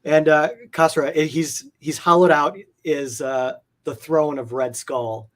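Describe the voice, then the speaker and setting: A man's voice: on the high side, fairly even intonation, measured pace, a bit bright. A man chatting casually and enthusiastically as an interview guest, rambling and peppering his talk with "like". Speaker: interview guest, comic art collector. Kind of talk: casual enthusiastic chat about comic art.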